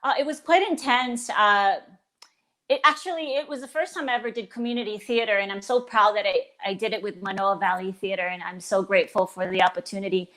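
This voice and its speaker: A woman's voice: medium pitch, fairly even intonation, a little fast. A woman in her forties from the Philippines, a stage performer talking enthusiastically about her career in a casual interview. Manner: warm and enthusiastic, with frequent restarts. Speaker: guest performer.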